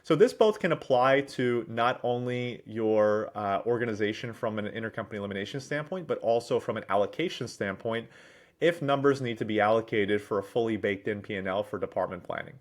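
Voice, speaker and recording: Low-voiced man presenting a software demo. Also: brisk. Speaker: presenter, managing director at a software consultancy. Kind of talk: software demo walkthrough, explanatory screen-share narration.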